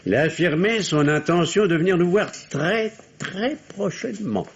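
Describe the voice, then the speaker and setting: An actor playing a middle-aged provincial doctor in an old French radio mystery, sardonic yet privately ashamed, with formal, theatrical diction. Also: male voice, high voice, normal speed, husky. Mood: sly, needling. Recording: dull, noisy old radio recording.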